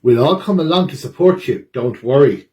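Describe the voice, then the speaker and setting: A man's voice: medium pitch, lively intonation, moderate pace, a little mellow, lightly acted. A man voicing an earnest, helpful young owl in a children's storybook, speaking emphatically. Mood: eager, encouraging.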